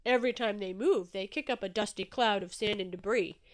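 A woman's voice: mid-range voice, fairly quick, lightly acted. A woman voicing a genial, slightly fussy eel in a children's story, chatty and politely genteel.

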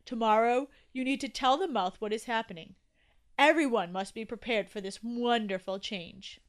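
A chipper grown-up tooth from a children's storybook, acted out by a woman. He speaks in a fairly high voice with brisk, matter-of-fact confidence. His manner is reassuring and a little patronizing, like an elder addressing a youngster. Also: lightly acted. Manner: upbeat, brisk, patronizing toward the little one.

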